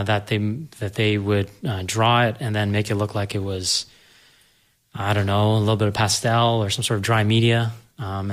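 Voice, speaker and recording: A man explaining and discussing film in a casual panel conversation. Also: lowish voice. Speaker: panel guest explaining animation.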